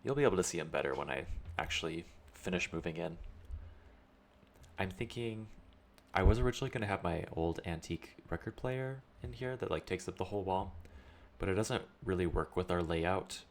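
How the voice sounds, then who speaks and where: A young man with a low voice, chatting casually and thinking aloud while streaming a game. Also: fairly quick. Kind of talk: casual let's-play chat.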